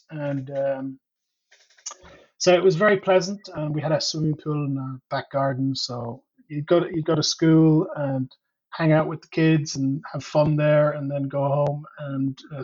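An Irish-born man recalls his past at an easy pace, pausing often to think. His voice is slightly high and husky, and the recording sounds dull and lo-fi. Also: a little mellow. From Ireland. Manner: unhurried, many ums and uhs, reflective storytelling. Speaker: interview guest. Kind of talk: casual conversational interview.

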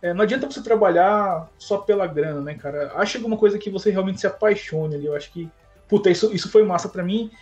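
A man chatting casually as a podcast guest, relaxed and slangy, often tagging his sentences with filler words. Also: high-pitched, fast. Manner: relaxed, slangy, fills with "né, cara". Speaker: podcast guest, tech lead. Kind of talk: casual chat. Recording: dull lo-fi sound with faint music.

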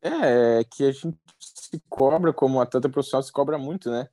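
A young man with a southern Brazilian accent chats in an easygoing, unhurried way, stretching his fillers as he thinks aloud. His voice sits in an ordinary middle register. The recording is dry and close, with no room sound around him. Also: mid-range voice, no echo. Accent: southern Brazilian Portuguese. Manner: relaxed, easygoing, drawn-out fillers. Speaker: professional athlete guest. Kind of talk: casual podcast conversation.

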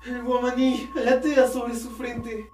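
A woman's voice: fairly high pitch, flat delivery, takes it slowly, darkish tone. A woman playing a young, reverent disciple of a dancer in a radio drama. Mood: reverent, awed. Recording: roomy echo with music behind.

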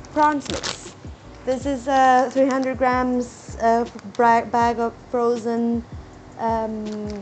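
A young woman with a self-described squeaky voice chatting casually in her home kitchen while cooking, rambling with fillers and restarts and trying to talk slowly. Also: darkish tone, a little hiss or hum. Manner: deliberately talking slowly. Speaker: cooking vlogger.

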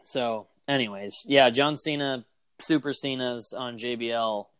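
A man co-hosting a casual podcast chat, talking in an animated, rambling way with lots of fillers. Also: medium pitch, measured pace, clean tone, dry recording. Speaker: podcast co-host. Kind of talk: casual chat about wrestling.

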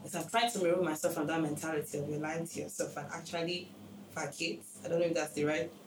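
A woman's voice: low-pitched, flat delivery, fast talker, distant mic. Young woman, candid chat. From Nigeria. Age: young adult. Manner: reflective, candid, trails off with fillers. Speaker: podcast guest. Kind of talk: casual chat. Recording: echoey room with background noise.

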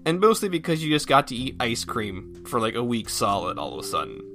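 A man's voice: mid-range voice, very animated, fairly quick, sharp tone, faint music behind. A man talking casually on his own as a podcast host, thinking aloud in a folksy, self-effacing way. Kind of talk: casual solo rambling chat.

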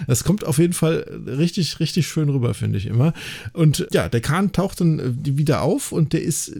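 Mellow higher-voiced German man chatting. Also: lively intonation, moderate pace, creaky. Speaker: podcast host. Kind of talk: casual retelling and analysis of an audio drama.

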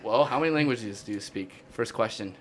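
A man's voice: medium pitch, brisk. A young man hosting a casual podcast conversation, loose and chatty with easy laughs. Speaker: podcast host.

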